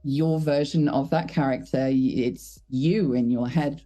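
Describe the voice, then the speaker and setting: A woman with a low voice, a voice actor chatting casually in an interview, thoughtful and self-deprecating. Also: fairly even intonation, unhurried, warm tone. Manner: thoughtful, self-deprecating, laughs while talking. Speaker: voice actor guest.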